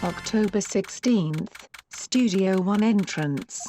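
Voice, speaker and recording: A woman's voice: mid-range voice, takes it slowly, warm tone, soft background music, natural voice. A woman narrating a video game fandub in a neutral, scripted manner, announcing items and locations.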